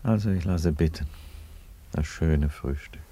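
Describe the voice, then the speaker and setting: An actor in a German radio play as an unhurried, ironic Roman emperor, speaking in a dry, deadpan way. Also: male voice, very deep voice, breathy edge, full character voice. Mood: mildly put out.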